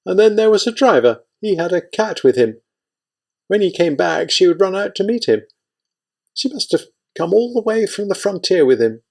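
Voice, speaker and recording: A man's voice: high-pitched, sing-song, normal speed, vocal fry, a little mellow, pitched above the performer's own voice, heavily acted. A man voicing an old Ukrainian village woman, kindly but bitter, speaking with pleading indignation.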